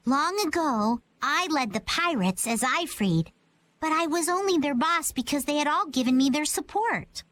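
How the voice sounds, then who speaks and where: A woman's voice: high voice, animated, moderate pace, fairly bright tone, no room sound. A woman voicing a chipper, quirky young pirate girl in an anime game dub, self-assured and speaking in old-fashioned slang. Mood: playful, musing. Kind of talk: English-dubbed anime game voice acting. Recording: music under the voice. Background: music.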